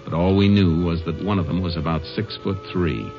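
An actor playing a calm, sharp Texas Ranger investigator in a radio drama, terse, matter-of-fact and authoritative. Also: male voice, sits low, moderate pace, music in the background. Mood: terse narrating. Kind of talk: old-time radio detective acting and narration. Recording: muffled old radio recording.

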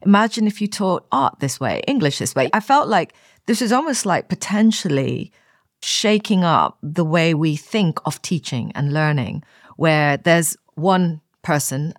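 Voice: mid-range voice, dry recording, clean studio sound. A podcast host in their forties who asks questions with enthusiasm in a casual interview.

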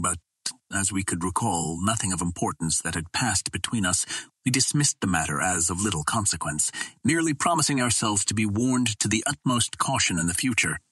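Low, husky male audiobook narrator. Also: a bit bright, no echo. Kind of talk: scripted narration of an adventure novel.

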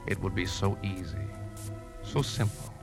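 A man playing a brooding, secretly scheming leading man in a 1950s radio drama, speaking in a measured, confiding way. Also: low voice, vocal fry. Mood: brooding, tense. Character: brooding man secretly plotting to poison a woman. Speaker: lead actor and narrator. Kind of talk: 1950s radio drama, first-person narration and dialogue. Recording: vintage 1950s radio with music.

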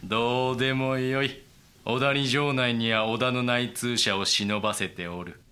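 A man playing a cold, broken Japanese warlord in a period voice drama, speaking archaic lordly lines deliberately with dramatic pauses. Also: mid-range voice, steady tone, bright, forceful tone. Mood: coldly ruthless. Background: dramatic music.

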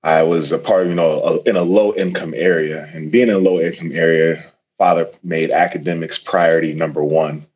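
A man's voice: deep voice, fairly even intonation, fairly quick, a little mellow, lo-fi sound. A man in his twenties from Connecticut, a professional football player, talking casually as a guest with many fillers and restarts. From Connecticut, USA.

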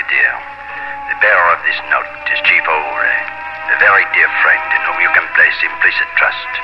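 Courteous colonial letter-writer, extremely low voice, formal and earnest, vintage radio with music behind. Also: male voice. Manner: formal, measured. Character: courteous French colonial gentleman voicing his letter. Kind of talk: 1950s radio drama acting. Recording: muffled old radio recording with music.

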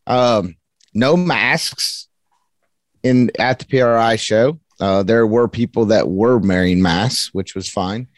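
A man co-hosting a casual podcast, speaking in a plain, measured and sometimes teasing way. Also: lowish voice, no echo, clean studio sound. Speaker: podcast co-host.

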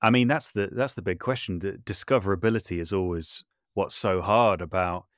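A man's voice: low-pitched, brisk, a bit bright, dry recording, clean studio sound. A man speaking reflectively as an interview guest, thoughtful and often hedging or correcting himself as he goes. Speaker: podcast guest, audio drama maker and composer.

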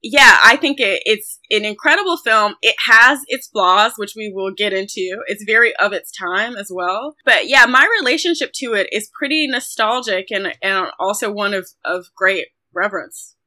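A woman's voice: medium pitch, lively intonation, normal speed, hardly any pauses, sharp tone. A woman co-hosting a film podcast, talking casually in a chatty, somewhat hesitant way.

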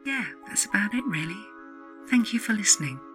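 A woman narrating a spiritual self-help book as its author, in a solemn, deliberate, incantatory way. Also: mid-range voice, lively intonation, fairly bright tone, faint music behind. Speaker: author and podcast host reading their own book.

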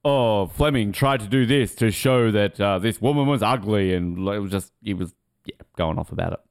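A man's voice: lowish voice, normal speed, clear, resonant tone. A man co-hosting a podcast, bantering casually and reading things out to his friends. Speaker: podcast co-host.

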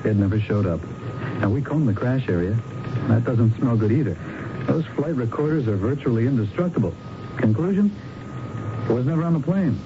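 A muffled old radio drama with heavy background noise. A man plays a young, crooked investigator with a mid-pitched voice, blunt and slangy, cynical and impatient. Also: animated, brisk, long pauses, close to an everyday voice. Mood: matter-of-fact, cynical.

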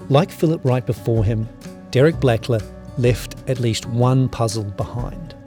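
A man reading scripted documentary narration in a measured storytelling manner. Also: medium pitch, dark tone. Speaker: podcast narrator. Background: background music.

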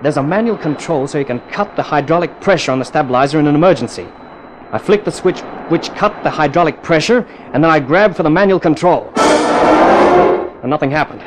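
Old radio drama with music underneath: a male actor as a cool jet pilot telling his story in a fairly high voice. He is wry and clipped, building suspense like a thriller narrator. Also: expressive, a little fast, close to an everyday voice. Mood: tense, wry narration.

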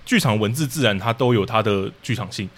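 A man's voice: fairly low pitch, animated, a little fast. A man with a Taiwanese accent speaking as a podcast guest, casually explaining his creative work step by step. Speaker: podcast guest, audio drama troupe leader. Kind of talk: casual explanatory interview talk.

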